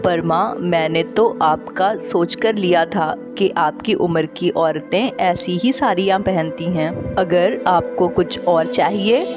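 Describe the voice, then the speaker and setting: A dutiful young son and husband, acted by a woman in an Indian cartoon story. The voice is fairly low, slow and gentle, with music behind it. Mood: apologetic. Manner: gentle, apologetic. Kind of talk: Hindi moral-story cartoon dialogue.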